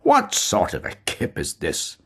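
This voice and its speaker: A man's voice: deep voice, huge pitch swings, unhurried, pushed and bright, husky, heavily acted. A man doing brash mocking young medical student. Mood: boisterous. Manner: boisterous, theatrical, mock-solemn.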